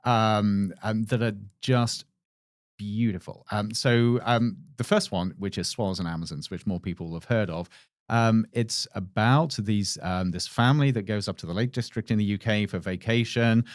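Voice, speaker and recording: A middle-aged man co-hosting a podcast, speaking in a measured, hesitant way with many ums and "sort of". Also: on the low side, steady tone, no room sound, clean studio sound. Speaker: podcast co-host. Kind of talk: casual chat.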